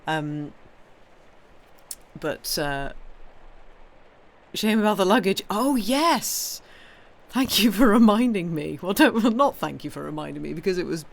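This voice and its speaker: A woman's voice: mid-range voice, expressive, a little slow, gravelly, long pauses. A woman with a British accent hosting a livestream in casual, playful chat, often breaking off her own sentences and rambling on. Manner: rambling, playful, self-interrupting. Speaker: livestream host and reader.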